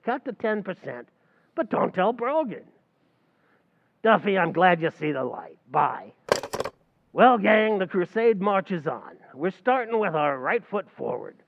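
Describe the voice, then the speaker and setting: A man's voice: sits high, gravelly, exaggerated voice. A man playing a brash, know-it-all bartender, mangling big words in an old-time radio comedy recreation. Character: fast-talking, know-it-all New York bartender Archie. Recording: dull, lo-fi sound.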